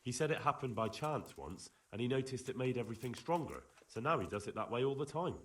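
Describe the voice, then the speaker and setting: Earnest young medieval lad. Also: male voice, mid-range voice, animated, fast, a bit bright, breathy edge. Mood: matter-of-fact. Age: young adult. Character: earnest, polite young medieval blacksmith's son, inquisitive and plain-spoken. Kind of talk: video game voice acting.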